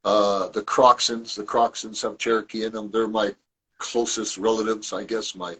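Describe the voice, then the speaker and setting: A man's voice: on the low side, level tone, normal speed, pushed and bright, husky, rough recording. A man from Toronto, a guest and author, telling his family history in a rambling, casual way with many restarts and asides. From Toronto, Canada. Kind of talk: casual conversational storytelling.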